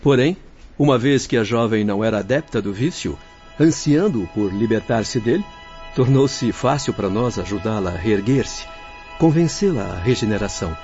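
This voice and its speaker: A man's voice: fairly low pitch, expressive, moderate pace, lightly acted. A Brazilian man playing an earnest young doctor who argues formally and reasonably in a radio drama. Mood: earnest, reasoned. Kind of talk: Portuguese radio drama acting. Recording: dull audio with music bed.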